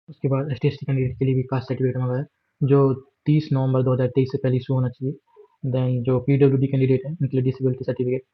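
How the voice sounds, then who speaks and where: A man with an Indian accent walking through a job notification on screen, explaining steadily with fillers and English words mixed in. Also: mid-range voice. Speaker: exam guide presenter. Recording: dull lo-fi voice recording.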